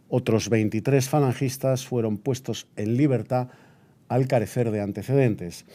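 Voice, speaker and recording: A man's voice: mid-range voice, mellow, natural voice. A man playing a solemn, indignant Spanish radio newsreader of 1936, reading a formal, declamatory bulletin. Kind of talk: scripted period radio news bulletin.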